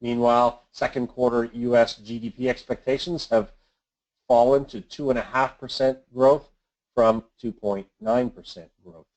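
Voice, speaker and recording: A man reads scripted market commentary as an investment adviser on a webinar, in a measured, formal, businesslike manner. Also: medium pitch, fairly even intonation, darkish tone. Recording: dull lo-fi conference call audio.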